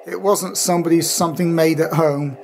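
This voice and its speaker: Middle-aged male hobbyist, slightly high voice, informal and rambling demo talk, handheld camera indoors with faint hum. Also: fairly even intonation, brisk, darkish tone. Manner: rambling, matter-of-fact asides. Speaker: vintage radio hobbyist presenting own collection. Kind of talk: informal home video demonstration. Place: room at home, indoors. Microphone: handheld camera, speaker behind it. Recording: handheld camera audio with clear hum noise. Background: electrical hum from old radio equipment.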